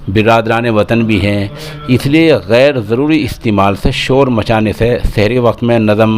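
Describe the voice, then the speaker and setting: A man presents a news report over clearly audible noise. His voice sits at a middling pitch, and his diction is formal as he reads from a script. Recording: clearly noisy recording with ambient sound.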